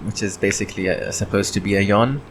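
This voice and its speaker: A man chatting casually and haltingly as he thinks aloud while working on his indie game devlog. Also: on the low side, slight background noise, low-quality recording. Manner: halting, often clearing throat.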